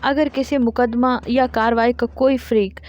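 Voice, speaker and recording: A woman teaching law, reading each statute out slowly, word by word, and then explaining it in plain terms. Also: fairly high pitch, fairly even intonation, darkish tone. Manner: reads English legal text slowly word by word, then explains in Urdu. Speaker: law lecturer.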